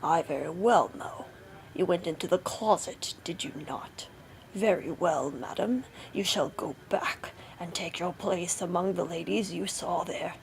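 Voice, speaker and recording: A woman's voice: fairly low pitch, unhurried, vocal fry, voice pushed down, low-quality recording. A stern, merciless storybook husband, voiced by a woman, issues commanding threats to his wife. The delivery is cold and menacing, with some noise in the recording. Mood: cold menace. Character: menacing, merciless murderous husband with a great saber. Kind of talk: storybook character voice.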